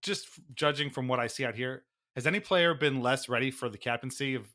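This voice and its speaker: A man co-hosting a sports podcast in casual chat, animated and sardonic, mockingly acting out what others say. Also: mid-range voice, brisk. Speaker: podcast co-host.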